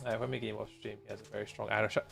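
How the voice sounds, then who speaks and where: Scottish male streamer, thirties, very gravelly voice, rambling with lots of ums and restarts, faint music underneath. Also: lowish voice, little pitch movement, racing, fairly bright tone. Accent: Scottish, softened. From Scotland. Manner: rambling, self-deprecating, many ums and restarts. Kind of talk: casual live-stream chat while gaming.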